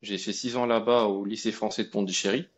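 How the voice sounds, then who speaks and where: A young man from India, a guest telling his story in a relaxed, colloquial way with many fillers and restarts. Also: on the low side, fairly quick. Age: young adult. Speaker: guest, AI researcher. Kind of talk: casual conversation.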